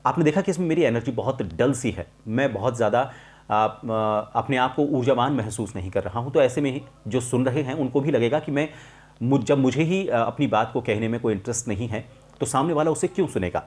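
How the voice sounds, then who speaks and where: Man, mid-pitched voice, voice coach, instructional explanation, measured delivery, emphatic repetition of key points. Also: a little mellow. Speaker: voice coach presenting a tutorial.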